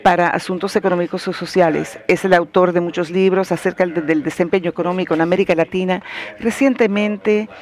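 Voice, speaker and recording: A man's voice: high-pitched, steady tone, fairly quick. A man moderating a panel, giving a formal introduction and reading out the speakers' credentials with small hesitations. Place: university lecture hall.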